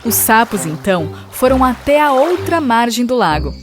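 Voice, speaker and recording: A woman narrates a children's storybook fable from a script. The voice sits fairly high, with music clearly playing behind it. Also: big pitch swings, moderate pace.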